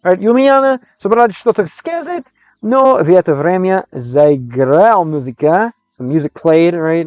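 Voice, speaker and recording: A man's voice: fairly high pitch, a little mellow, no room sound, muffled recording. A man livestreaming, chatting with viewers and translating game text as he learns a language, often restarting his sentences. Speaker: streamer learning Russian. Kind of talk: casual livestream chat with viewers.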